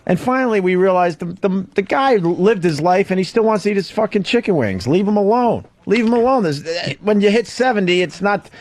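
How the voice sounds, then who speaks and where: A man hosting a radio show, chatting casually and a little haltingly, with some swearing. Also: high voice, expressive. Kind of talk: casual, profane radio banter. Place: radio studio.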